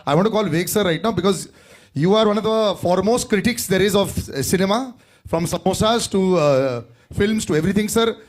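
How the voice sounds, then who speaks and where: A man with an Indian accent hosts a press event, steering the questions in mixed English and Hindi. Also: sits high. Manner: brisk, directing the questions. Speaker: event host.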